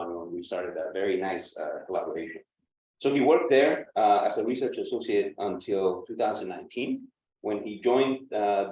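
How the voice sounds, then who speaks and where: A man who is a professor, hosting a seminar and giving a formal introduction, measured, with filler sounds. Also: fairly low pitch, darkish tone. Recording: distant mic in an echoey room with faint noise.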